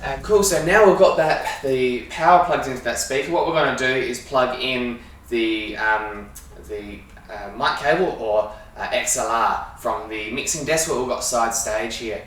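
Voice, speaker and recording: A man with an Australian accent giving a casual, halting hands-on demonstration as a sound engineer while he works. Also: mid-range voice, fairly bright tone. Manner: informal, halting, talking while working with hands. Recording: echoey room, distant mic, faint noise.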